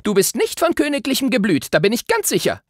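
A man's voice: very high voice, huge pitch swings, quick pace, dry recording, studio quality, pitched above the performer's own voice, full character voice. A man voicing an imperious, wrathful witch queen in a storybook, commanding and scornful. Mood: furious, scornful. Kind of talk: dramatic audiobook reading.

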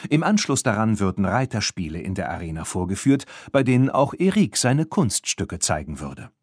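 A man reads a children's story as an audiobook narrator. His voice sits fairly low and has a husky grain, and he tells the tale expressively with very varied intonation. The sound is dry and close. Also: sing-song, normal speed, no room sound.

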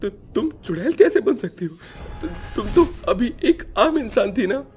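A man's voice: sits high, big pitch swings, a little slow, a little mellow, soft background music, pitched above the performer's own voice, full character voice. A man playing a terrified victim in a horror cartoon, stammering in panic.

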